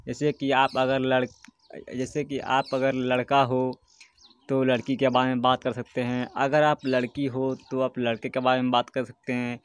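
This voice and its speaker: A man's voice: mid-range voice, little pitch movement, moderate pace, clear, resonant tone. A man presenting a casual step-by-step tutorial as host, often adding a short check-in tag. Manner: repeatedly checks in with a tag phrase.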